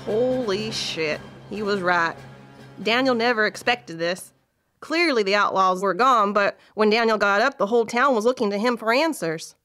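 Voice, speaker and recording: A woman's voice: mid-range voice, moderate pace, pushed and bright, soft background music, close to an everyday voice. A woman playing a folksy, wry storyteller, narrating a scripted Wild West audio play.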